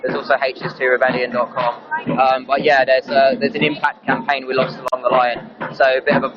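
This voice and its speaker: A man's voice: mid-range voice, flat delivery, fairly quick, heavy background noise. A man with an Essex accent giving a casual interview at a protest, earnest and somewhat hesitant. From Essex, England. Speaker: activist being interviewed. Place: live at a protest. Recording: muffled, loud music behind.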